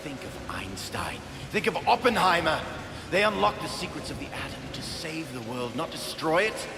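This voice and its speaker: An actor playing a remorseful, earnest scientist in a video game cutscene, pleading and emphatic with a gravelly voice. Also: male voice, on the high side, sing-song, moderate pace, echoey room, long reverb tail, background noise. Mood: pleading, urgent.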